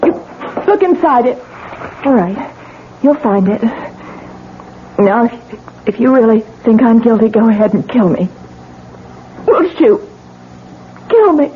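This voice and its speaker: An actress playing a desperate, manipulative young wife in a 1970s radio drama, pleading and tearful. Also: female voice, fairly high pitch, big pitch swings, measured pace, pauses often, slight background noise. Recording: thin lo-fi vintage radio broadcast.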